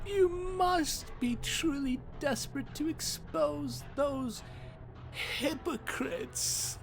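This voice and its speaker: A woman's voice: high voice, very slow, gravelly, music in the background, heavily acted. A woman playing a sly, playful predator who serves a dragon, teasing and theatrical in a fantasy audio drama. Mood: teasing, gleeful. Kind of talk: fantasy audio roleplay acting.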